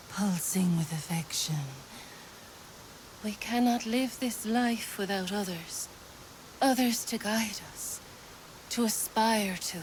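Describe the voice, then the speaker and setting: An actress playing a gentle mystical seer in a video game, speaking in a hushed, deliberate, ritual manner. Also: female voice, lowish voice, slight background noise, rough recording. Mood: tender, entranced. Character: gentle mystical female seer probing hearts. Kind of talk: dramatic video game acting.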